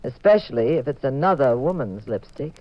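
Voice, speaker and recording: An actress playing a witty, prim, jealous detective's wife in a 1950s radio comedy-mystery, with a polished, arch delivery. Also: female voice, extremely low pitch, animated, a little fast. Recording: dull 1950s radio recording, faint music.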